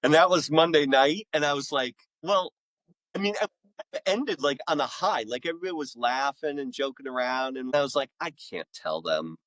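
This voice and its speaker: A man's voice: fairly high pitch, expressive, normal speed, fairly bright tone, no echo, poor audio. A middle-aged man, a podcast guest and author, telling casual anecdotes in an enthusiastic, rambling way.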